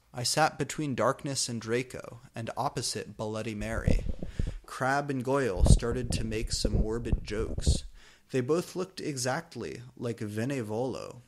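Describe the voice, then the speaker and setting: A man narrating amateur fan fiction as a comic dramatic reading, with exaggerated, mock-serious emphasis. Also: mid-range voice.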